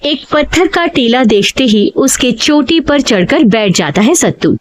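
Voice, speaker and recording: A woman narrating a cartoon story in an animated storytelling manner. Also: high voice, a little slow.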